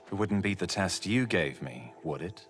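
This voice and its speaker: A man's voice: low-pitched, lively intonation, normal speed, faint music behind. A man voicing a wry, slightly nervous spell apprentice in a fantasy game, hesitant and understated. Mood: wry, uneasy.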